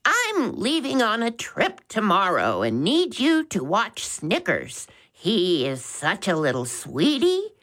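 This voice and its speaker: A woman puts on an old lady who can barely hear, so she squeaks everything loudly down a phone. Her voice jumps well above the reader's own low tone and swings with fussy, doting warmth. The pace drags along slowly. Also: huge pitch swings, full character voice. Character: elderly hard-of-hearing woman, loud and chatty.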